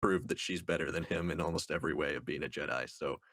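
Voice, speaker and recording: A man's voice: extremely low pitch, fast, fairly bright tone, no room sound, clean studio sound. A man co-hosting a podcast, giving his take in a casual review discussion. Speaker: podcast co-host.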